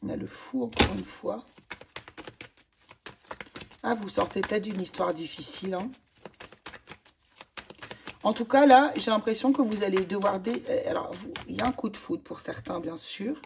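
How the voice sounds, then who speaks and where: Hoarse enthusiastic woman reading tarot. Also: medium pitch, moderate pace, pauses often, slight background noise. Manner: chatty, enthusiastic, many fillers and exclamations. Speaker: tarot reader. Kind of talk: casual tarot card reading to viewers.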